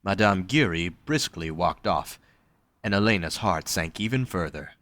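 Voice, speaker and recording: Male narrator for scripted fiction. Low-pitched, dry recording. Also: animated, normal speed, a bit bright, no room sound.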